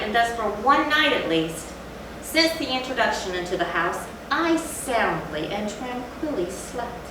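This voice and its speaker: A woman on stage plays a confessing murderer, gloating and frenzied beneath a formal calm. The delivery is theatrical Gothic horror in a higher register. It is caught from a distance in a hugely reverberant space, with clear noise. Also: lightly acted. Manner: theatrical, emphatic, old-fashioned formal diction. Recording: echoey hall, distant mic, noisy.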